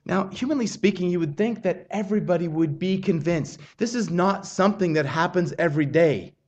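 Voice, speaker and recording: A man preaching a sermon in an earnest, measured way, often restarting his phrases. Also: sits high, darkish tone, lo-fi sound. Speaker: pastor.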